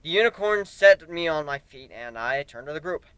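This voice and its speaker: A man reads a story aloud as a dramatic narrator, with theatrical emphasis. His voice is fairly high and very animated. It sounds crisp and close in a dry space. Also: normal speed, dry recording, rough recording. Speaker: narrator of fan fiction. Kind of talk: dramatic reading, first-person narration.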